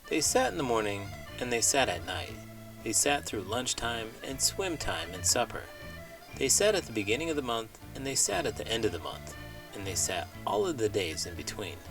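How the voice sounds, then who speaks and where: Very animated man, children's storybook host. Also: fairly low pitch, normal speed, music in the background, low-quality recording. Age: adult parent. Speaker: children's read-aloud host, teacher. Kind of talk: expressive storybook reading and playful banter.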